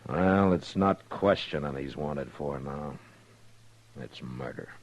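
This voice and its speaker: Seasoned radio Western lawman: rumbling bass, gravelly, terse, dry, commanding, faint vintage hiss. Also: takes it slowly, muffled recording, heavily acted. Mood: grim, guarded. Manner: terse, commanding, dryly skeptical. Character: stern, seasoned male frontier marshal, steady and dry. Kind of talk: old-time radio Western acting. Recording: hissy old radio recording.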